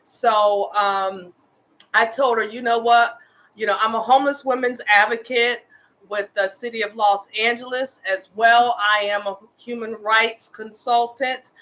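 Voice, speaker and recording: Bright-voiced earnest woman in her fifties. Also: on the high side, fairly even intonation, measured pace, low-quality recording. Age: 50s. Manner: earnest, impassioned advocacy. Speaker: host, homeless women's advocate. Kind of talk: casual livestream talk.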